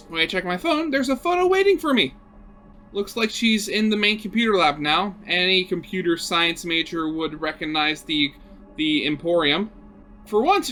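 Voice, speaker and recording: A man reading a visual novel aloud as expressive narration, dramatic, with brief conversational asides. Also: high voice. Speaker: video game let's player reading a visual novel aloud.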